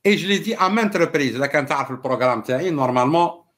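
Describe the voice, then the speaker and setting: A man with an Algerian accent and native Arabic holds forth in a live political discussion. His voice sits a little high and moves up and down a lot. He explains things emphatically and deliberately, mixing two languages. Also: expressive, fairly bright tone. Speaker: political commentator and live show host. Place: small indoor home room.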